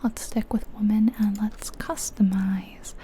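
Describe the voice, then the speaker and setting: A woman whispering breathily and gently close to the mic in an unhurried, chatty ASMR game commentary. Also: medium pitch, fairly even intonation. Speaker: ASMR video game streamer.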